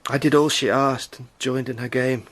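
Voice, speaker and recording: A man's voice: medium pitch, fairly even intonation, normal speed, fairly bright tone, natural voice. A man playing a haunted British adventurer caught up in a plot, speaking intensely and stammering under strain in a radio drama. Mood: troubled. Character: Sandy, haunted British adventurer in disguise, anguished.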